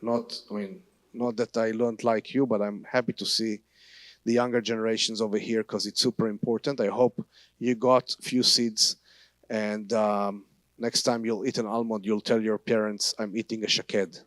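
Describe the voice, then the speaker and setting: A man from Israel with a Hebrew accent, a guest speaker and tour guide giving a church teaching in halting, non-native English full of restarts. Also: fairly low pitch, flat delivery, dry recording.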